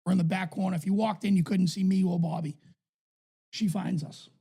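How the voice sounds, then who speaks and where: A man with a raspy voice, co-hosting a podcast and telling stories in a casual, swearing chat. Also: high-pitched, fairly even intonation, brisk, mellow. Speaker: podcast co-host.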